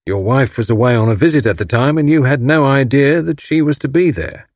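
A man voicing a solemn police inspector in an audiobook, speaking in a courteous, firm, measured and official way. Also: medium pitch, no room sound, clean studio sound, pitched above the performer's own voice, lightly acted. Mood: firm, explanatory. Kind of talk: audiobook character acting.

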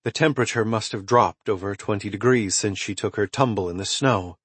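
A man reading a suspense thriller novel as scripted narration, in a dramatic, measured storytelling manner with emphatic swells and hushed drops. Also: on the low side, husky, dry recording. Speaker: audiobook narrator.

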